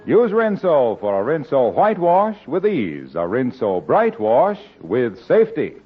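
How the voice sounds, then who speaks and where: A man's voice: mid-range voice, wildly swooping pitch, unhurried, breathy edge, faint music behind. A man delivering scripted announcements as a 1940s radio announcer. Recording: muffled old radio broadcast.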